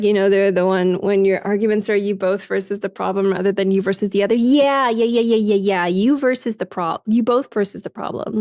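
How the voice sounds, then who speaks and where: A young woman streamer talks things over with her viewers in a loose, frank way. She swears freely, laughs often, and leans on "like" and "man". Her voice sits at an ordinary pitch and sounds dry, with no room echo. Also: darkish tone. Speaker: livestreamer. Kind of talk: casual chat, reading and answering viewer comments.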